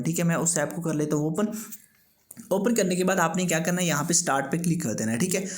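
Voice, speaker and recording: A man hosting a tech tips tutorial, giving step-by-step instructions. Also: a little high. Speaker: host of a tech tips channel. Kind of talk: scripted-sounding tutorial narration for a video.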